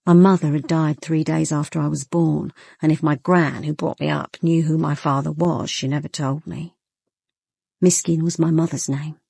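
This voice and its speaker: A woman's voice: low-pitched, gravelly, warm tone, slightly rough, no echo, clean studio sound, full character voice. An actress with an English accent playing a young police inspector who narrates her private life in an intimate, weary, guarded way for a radio drama.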